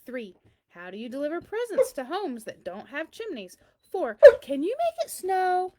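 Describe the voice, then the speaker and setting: A woman's voice: high-pitched, wildly swooping pitch, normal speed, darkish tone. A woman voicing a curious, earnest seven-year-old girl reading out her letters in a children's storybook, eager and full of questions. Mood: earnest, questioning. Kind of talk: children's storybook read-aloud.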